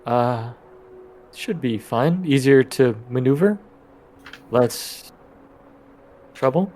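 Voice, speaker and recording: Hesitant, halting man at a game table. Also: mid-range voice, expressive, darkish tone, a little hiss or hum. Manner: hesitant, halting delivery with trailing phrases. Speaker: player in a tabletop role-playing game. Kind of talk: improvised in-character tabletop dialogue.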